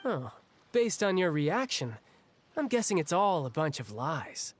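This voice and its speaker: Young hero type in a game, frank and casual, higher voice that moves around a lot. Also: male voice, unhurried, no room sound. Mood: sheepish, casual. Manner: casual, candid, animated. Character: friendly, frank, protective young male adventurer. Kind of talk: anime-style video game voice acting. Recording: music faintly behind the voice.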